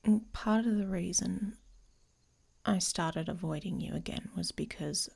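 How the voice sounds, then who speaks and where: A woman playing a grumpy, guarded female werewolf in an intimate ASMR roleplay, speaking in a halting, breathy, often whispered murmur. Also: fairly low pitch, a little mellow, full character voice. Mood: tentative, intimate.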